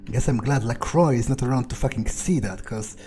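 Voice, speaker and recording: A man's voice: mid-range voice, a little fast, dark tone, a little hiss or hum. A man thinking aloud in character during a video game playthrough, musing casually to himself and swearing freely. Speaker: gamer playing in character as a vampire. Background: music behind the voice.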